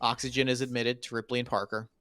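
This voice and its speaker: A man's voice: mid-range voice, flat delivery, fast talker, a bit bright, dry recording. A man reading screenplay stage directions in a dramatic table read.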